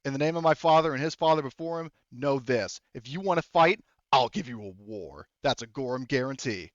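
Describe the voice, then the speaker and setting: A man's voice: medium pitch, animated, a little fast, no echo, natural voice. A man voicing a bombastic, swaggering military fleet commander in a tabletop game, declaiming on slightly dull audio. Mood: defiant.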